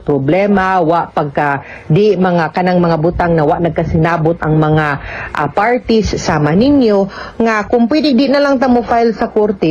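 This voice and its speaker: A woman's voice: mid-range voice, a little mellow. A woman with a Cebuano Filipino accent giving conversational legal advice as a radio host, explaining things and often checking with short tag questions. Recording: dull lo-fi radio recording.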